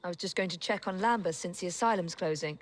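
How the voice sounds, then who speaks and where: A woman's voice: on the low side, fast, close to an everyday voice. A woman playing a poised, practical, kindly young Victorian adventurer in a game cutscene, polite and direct. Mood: courteous. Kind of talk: video game cutscene acting.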